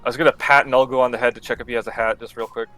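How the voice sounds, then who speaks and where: A man's voice: mid-range voice, little pitch movement, racing, bright, forceful tone, faint music behind. A man playing a tabletop game with friends, giggly and impulsive. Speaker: player. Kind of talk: casual tabletop play.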